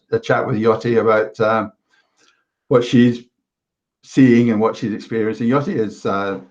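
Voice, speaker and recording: A man hosting an interview chat, speaking in a measured, deliberate and somewhat halting way. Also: fairly low pitch, a little mellow. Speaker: host. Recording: muffled lo-fi audio.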